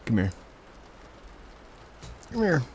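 A man speaking softly in an intimate, soothing ASMR boyfriend roleplay, murmuring in a comforting, gently teasing way. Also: medium pitch, huge pitch swings, slow. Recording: close recording with clear background noise.

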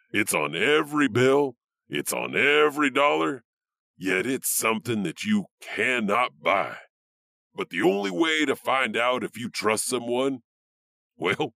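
A man's voice: on the low side, vocal fry, pushed and bright, exaggerated voice. A man playing a creepy, wry janitor who hosts horror tales, musing theatrically to the listener. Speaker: host of horror anthology. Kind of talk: horror anthology host narration.

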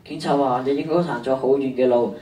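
A man's voice: medium pitch, fairly even intonation, a little fast, dark tone, close to an everyday voice. A teenage boy with a Hong Kong Cantonese accent playing a caring, easygoing schoolboy friend in a radio drama. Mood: reassuring. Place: stage of a large hall. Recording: noisy echoey stage, distant mic.

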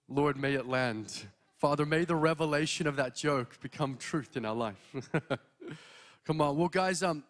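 High-voiced young Australian preacher. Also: male voice. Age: young adult. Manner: energetic, exhorting, walks the stage. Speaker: guest preacher. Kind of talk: sermon. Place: church stage. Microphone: handheld mic.

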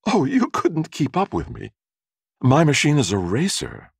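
A man's voice: on the high side, wildly swooping pitch, a little slow, creaky, breathy edge, dry recording, clean studio sound, pitched above the performer's own voice, full character voice. A man voicing a confident, hearty young woman with a rich contralto and a polite, breezy manner in an audiobook. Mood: breezy, amused. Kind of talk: audiobook character voice.

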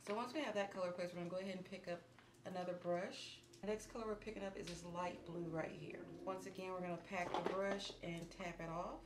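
A slightly hoarse woman in her thirties walks viewers through a makeup tutorial in a calm, instructive voice that drops toward a murmur as she works. Her voice sits fairly low. The small room around her sounds echoey, with a steady hiss underneath. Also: fast. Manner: calm, instructive, softens to near murmur while working. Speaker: beauty vlogger. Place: small indoor room against a plain wall.

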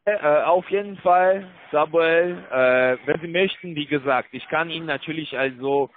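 Halting man on a tinny phone line. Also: fairly high pitch. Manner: halting, repetitive, placating. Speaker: call-centre broker agent. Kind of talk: phone sales talk. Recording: tinny phone line with loud-enough background noise.

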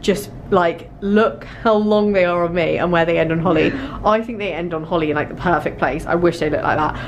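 A woman's voice: lowish voice, brisk, slight background noise. A young British woman chatting informally to camera as a fashion vlogger, repeating words for emphasis while trying on clothes. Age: young adult. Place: small clothing store fitting room. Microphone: handheld vlogging camera.

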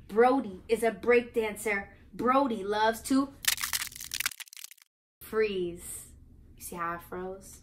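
A young woman with an American accent hosts a storybook read-aloud for little kids. Her voice sits on the high side, and she speaks expressively and playfully, moving between teacherly explanation and sing-song reading. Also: slight background noise. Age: young adult. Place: small living room, indoors on a couch.

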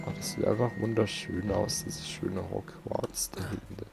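Low-voiced man playing a game, music behind. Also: fairly even intonation, normal speed, creaky. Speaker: let's play host. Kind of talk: casual gameplay commentary.

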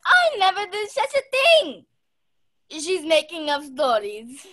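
A woman's voice: very high-pitched, huge pitch swings, takes it slowly, pushed and bright, exaggerated voice. A woman playing a haughty, dramatic wealthy lady in a mystery radio play, with an imperious, theatrical delivery. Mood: indignant. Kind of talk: stage-style mystery radio play acting.

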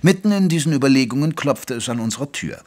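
Male actor as detective's gentlemanly chronicler, mid-pitched, articulate audio drama narration. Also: lively intonation, noisy background, close to an everyday voice. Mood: measured, recounting. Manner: measured, articulate storytelling.

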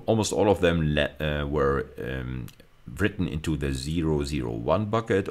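Middle-aged man, very low pitch, gravelly fry, university lecturer, methodical step-by-step explanation. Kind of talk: explanatory recorded slide lecture.

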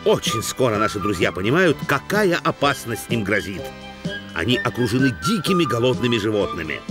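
A man's voice: mid-range voice, very animated, brisk. A man reading scripted preview narration as a cartoon announcer. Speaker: next-episode announcer. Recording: music under the voice.

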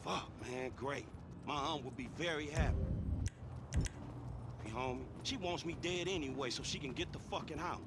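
A man's voice: on the high side, moderate pace, pauses often, heavy background noise, lightly acted. A man playing an exasperated young streetwise character in a video game. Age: young adult. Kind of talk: naturalistic video game acting.

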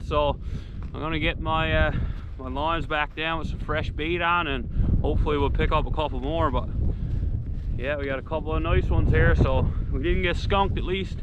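A man in his thirties chats casually to a camera, easygoing and full of fillers. His voice sits a little high for a man. The sound comes from a camera mic out on an open frozen pond, with strong wind noise running underneath. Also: highs cut off. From Newfoundland, Canada. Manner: relaxed, chatty, with frequent fillers and excited whoops. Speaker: outdoors vlogger. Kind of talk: casual vlog narration. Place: outdoors on a frozen pond in winter. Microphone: camera-mounted mic from a distance.

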